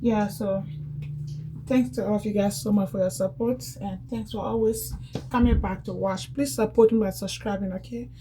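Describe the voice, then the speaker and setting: A woman's voice: medium pitch, steady tone, normal speed, warm tone, slight background noise, rough recording. A woman chatting casually in a home how-to vlog, repeating herself and calling her viewers guys. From Liberia. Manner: chatty and repetitive, often addresses viewers as guys. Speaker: YouTuber demonstrating cleaning. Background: background music.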